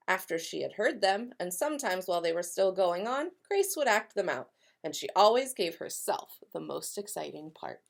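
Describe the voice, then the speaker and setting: A woman educator giving school announcements and reading a children's picture book aloud, expressive and clearly paced for young listeners. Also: on the low side.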